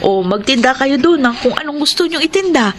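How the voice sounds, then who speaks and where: A woman's voice: sits high, animated, a little fast, slight background noise. A woman playing a grateful, devoted single mother in a Filipino radio drama, speaking earnestly and respectfully. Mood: insistent, grateful. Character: grateful, devoted single mother telling her life story. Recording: music bed behind voice.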